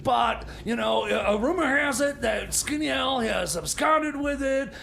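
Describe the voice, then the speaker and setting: A flustered comedy inventor acted by a man grumbles and stammers through his thoughts. The voice is strikingly high and creaky, halting and muttery, with clear background noise. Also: expressive, sharp tone, full character voice. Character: cranky, flustered male tinkerer inventor, fussy patriot. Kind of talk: improvised radio comedy.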